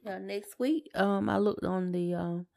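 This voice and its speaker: Podcast co-host: middle-aged woman, plenty of vocal fry, dry sound, casual conversational delivery. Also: medium pitch, unhurried, darkish tone, no room sound. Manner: colloquial, conversational. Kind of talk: casual chat.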